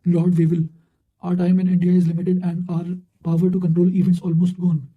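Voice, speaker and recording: A man's voice: sits high, dull audio. A man reading study notes aloud, sometimes stumbling over words and repeating them. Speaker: exam revision narrator reading out quotations.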